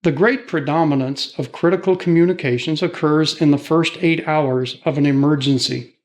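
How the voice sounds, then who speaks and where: Higher-pitched man narrating instructions. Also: fairly even intonation, moderate pace. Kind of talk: scripted training narration.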